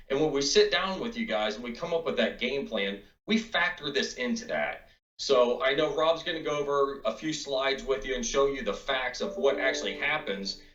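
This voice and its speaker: A man giving a reassuring, steady client update as a financial advisor. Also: medium pitch. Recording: roomy, distant, dull mic.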